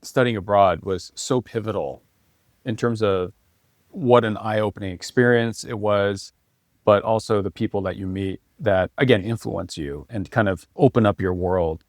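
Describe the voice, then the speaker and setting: A middle-aged American man speaks as the host of an informal interview. His voice sits a little low, and the sound is very dry. He talks in a casual, conversational way. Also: normal speed, no room sound.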